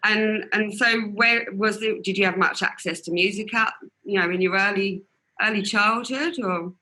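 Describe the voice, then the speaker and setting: A man's voice: high voice, steady tone, moderate pace, pushed and bright, low-quality recording. A man hosting a radio interview, chatty and affirming as he leads the questions. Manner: chatty, affirming, many yeahs. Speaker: radio host.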